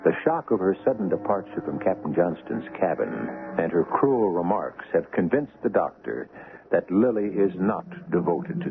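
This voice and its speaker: Old-time radio mystery host guy, gravelly, pitch moves a lot, muffled with faint music. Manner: deliberate, theatrical pauses. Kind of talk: scripted suspense narration.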